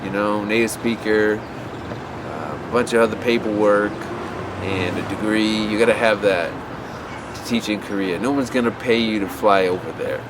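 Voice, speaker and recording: Man, lowish voice, loose rambling advice, outdoor city recording with traffic behind. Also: moderate pace. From USA. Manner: rambling, many fillers and restarts. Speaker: English teaching advice vlogger. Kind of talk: unscripted advice talk to camera. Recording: outdoor street noise.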